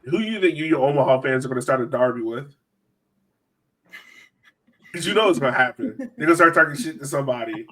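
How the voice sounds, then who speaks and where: A man's voice: mid-range voice, animated, a little fast, pauses often, bright, forceful tone. A man co-hosting a casual sports podcast, talking in a slangy, storytelling way. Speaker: podcast co-host.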